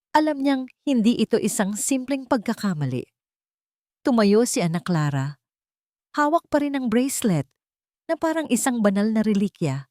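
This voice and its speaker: A woman with a Filipino accent narrates a dramatic audiobook story in measured, expressive short phrases. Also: mid-range voice, dry recording, studio quality.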